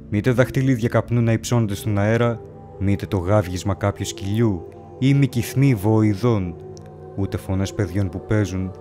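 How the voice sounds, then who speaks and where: A haunted, feverish wanderer, acted by a man, recounts his horror tale in a low voice. He is solemn and brooding, with the literary delivery of an audio drama. Prominent music plays beneath him. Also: close to an everyday voice. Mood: troubled, uneasy. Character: haunted, feverish male wanderer lost among ancient graves. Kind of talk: dramatic first-person horror story narration. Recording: loud music under the voice.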